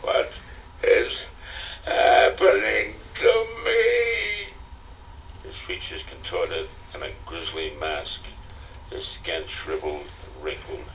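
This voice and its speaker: A man narrates an audiobook in a very deep voice. His scripted delivery is dramatic. The tape has faint noise and sounds slightly distant. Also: fairly bright tone. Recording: distant, noisy cassette audiobook recording.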